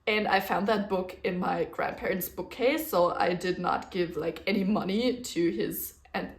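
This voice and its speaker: Unhurried German-accented woman podcaster. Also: lowish voice. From German-speaking region. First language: a German dialect. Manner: chatty, rambling, with fillers and small laughs. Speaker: podcast host. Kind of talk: casual solo podcast chat.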